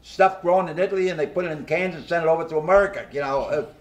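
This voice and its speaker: A man in his nineties hosts a small studio talk show, seated at a table. He talks in a rambling, folksy way, full of fillers and restarts, with a voice a touch higher than most men. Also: racing. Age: 90s. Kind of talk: casual interview chat.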